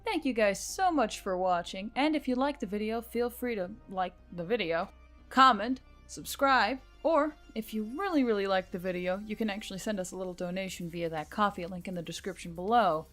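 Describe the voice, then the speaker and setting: A woman's voice: medium pitch, expressive, moderate pace, fairly bright tone, soft background music. A woman reading a scripted story aloud as a narrator. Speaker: audiobook narrator and channel reader.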